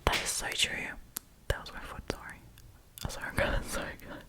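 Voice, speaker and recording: A woman whispering in a casual, chatty, giggly ASMR storytime style, as host reading and commenting. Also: fairly quick.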